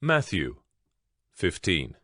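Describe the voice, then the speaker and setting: A man's voice: sits low, wildly swooping pitch, slow pace, fairly bright tone, no room sound, studio quality. A man giving a solemn, scripted dramatic narration of scripture as a storyteller. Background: background music.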